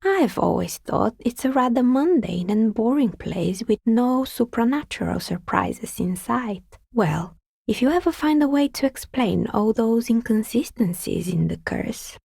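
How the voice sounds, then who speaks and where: A woman playing a skeptical, world-weary artisan in a video game, with dry, sardonic and politely measured delivery. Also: medium pitch, expressive, gravelly, dark tone, husky, no echo, heavily acted. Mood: dry, amused. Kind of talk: video game dialogue acting.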